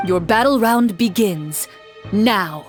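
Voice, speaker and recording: An actress voicing a game master who narrates a fantasy adventure in a video game with measured, dramatic storytelling. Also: female voice, medium pitch, loud music behind the voice, natural voice. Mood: announcing.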